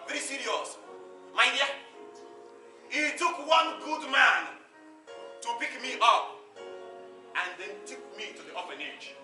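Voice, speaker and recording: An actor playing an animated orphaned street hustler, telling his hard life in a theatrical stage monologue with a Nigerian accent, dramatic and emphatic, repeating phrases for effect. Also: extremely high pitch, slow, pauses often, exaggerated voice. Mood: dramatic recounting. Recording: echoey stage far from mic, music.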